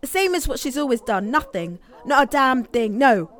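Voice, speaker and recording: A young English mother and protective big sister in a naturalistic radio play. Her voice sits high and moves widely in pitch. She is blunt and emphatic, exasperated but fiercely loyal, often repeating herself for force. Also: very animated, normal speed, a bit bright. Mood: angry, insistent. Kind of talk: naturalistic audio drama acting.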